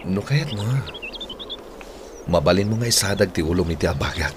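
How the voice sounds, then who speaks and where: A man playing a gentle, caring older brother in a radio drama, speaking earnestly and tenderly. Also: deep voice, expressive, a little fast, noisy background. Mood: warm, gentle.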